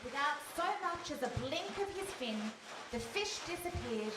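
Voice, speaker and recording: A woman storyteller with a fairly high voice narrates a live radio play. She is recorded at a distance in an echoey hall, with faint noise behind her. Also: lively intonation, normal speed. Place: hall, live stage performance.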